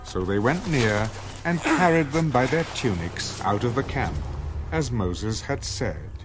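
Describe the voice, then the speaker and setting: A man narrating scripture as a scripted dramatized reading, in a measured, solemn manner. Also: medium pitch, expressive. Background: music under the voice.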